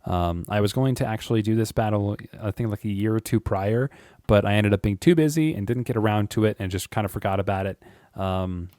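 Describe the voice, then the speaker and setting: Deep-voiced man rambling casually. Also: a little fast, dark tone, no room sound, studio quality. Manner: rambling and chatty, frequent chuckles, "um" and "uh". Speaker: YouTube creator. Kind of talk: casual monologue to camera.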